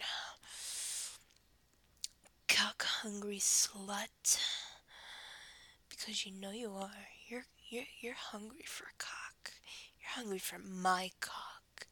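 Breathy woman whispering slowly and intimately. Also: mid-range voice, sharp tone, dry recording. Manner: breathy, dominant, commanding, drawn-out delivery. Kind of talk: intimate erotic roleplay audio, mostly whispered.

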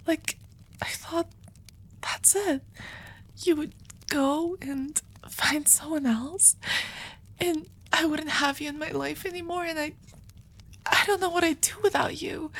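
A woman voicing an anxious, devoted young female vampire who confesses her love in a soft, hesitant whisper, in an intimate audio roleplay. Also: sits high, heavily acted.

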